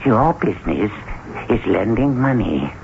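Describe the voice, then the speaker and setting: A man's voice: on the low side, sing-song, measured pace, gravelly, heavily acted. An actor playing an eccentric, cryptic Englishman in a radio drama, theatrical and insistent. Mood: mysterious, coaxing. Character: eccentric, cryptic Englishman pressing a mysterious stone. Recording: tinny, noisy old radio broadcast.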